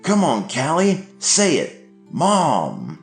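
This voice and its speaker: A man voicing an angry, abusive middle-aged father who coaxes and then shouts. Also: fairly high pitch, huge pitch swings, very slow, pitched above the performer's own voice, exaggerated voice. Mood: coaxing, pushy. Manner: shouting, coaxing then cruel. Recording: echoey room, faint music.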